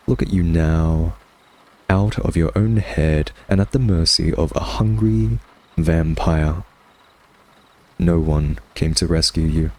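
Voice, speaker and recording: Casting: male actor as smug vampire nemesis for ASMR roleplay, very deep, unhurried, drawling, sardonic. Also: exaggerated voice. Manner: sardonic, taunting, drawling, theatrical. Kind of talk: ASMR roleplay, intimate scripted monologue.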